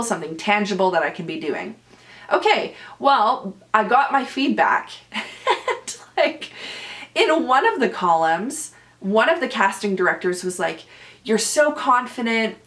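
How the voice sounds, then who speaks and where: A young woman hosting her own podcast, an actor with a loud, boomy voice, chatting casually and animatedly, laughing often. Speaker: podcast host. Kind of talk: casual solo podcast chat.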